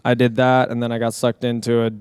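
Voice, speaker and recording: A man's voice: mid-range voice, completely flat delivery, quick pace, a little mellow, clear, resonant tone, no room sound. A young man from the San Diego area, a DJ and producer, talking casually on a podcast in a rambling, laid-back way with many fillers. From San Diego area, California. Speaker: guest DJ and producer.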